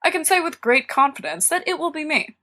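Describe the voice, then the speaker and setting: A sly, careless teenage schoolboy from a storybook, acted by a woman reader. The voice is fairly high and bright, swooping up and down as he mocks and scoffs. Everything comes out flippant and cocky. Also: lively intonation, brisk. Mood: sly mockery. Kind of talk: dramatised fan-fiction audiobook reading.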